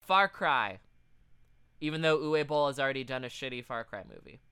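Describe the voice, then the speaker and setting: A man's voice: mid-range voice, moderate pace, sharp tone, clean tone, no echo. A man co-hosting a podcast in casual chat, reading out a list with blunt, sweary asides. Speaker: podcast co-host.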